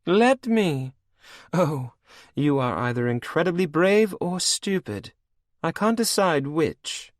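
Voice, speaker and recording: A man's voice: fairly high pitch, big pitch swings, unhurried, dry recording, clean studio sound, pitched above the performer's own voice. A man voicing a mocking, imperious vampire queen. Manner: sing-song, mocking, imperious. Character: cruel scheming vampire queen stepmother, female. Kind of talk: audiobook character voice.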